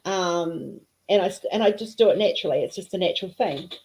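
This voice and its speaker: A woman's voice: brisk, darkish tone, low-quality recording. A middle-aged woman with a New Zealand accent tinged with Australian, chatting casually on a livestream in a sing-song voice that rises and falls. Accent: New Zealand, slightly mixed with Australian. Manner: sing-song, pitch going up and down. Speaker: livestream host, diamond painting artist.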